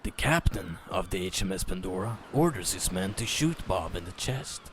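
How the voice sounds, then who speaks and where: A man narrating a story as a dramatic reading, theatrical in manner with deliberate pauses. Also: low-pitched, expressive, a bit bright, a little hiss or hum.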